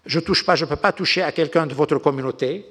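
A man's voice: fairly high pitch, fairly quick, fairly bright tone. Older man in a reverberant hall. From Lebanon. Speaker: diplomat giving a talk. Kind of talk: unscripted geopolitical lecture with anecdotes.

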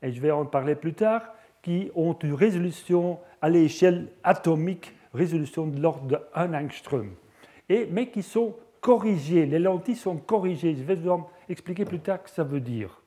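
A middle-aged man with a Flemish accent gives a scientific talk. His voice sits a bit high yet sounds mellow and soft-edged. He speaks haltingly as a non-native speaker, pausing on fillers and restarting his phrases. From Belgium (Flanders). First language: Dutch (Flemish). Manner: hesitant, many fillers and restarts. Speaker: lecturer. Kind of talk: scientific lecture with slides.

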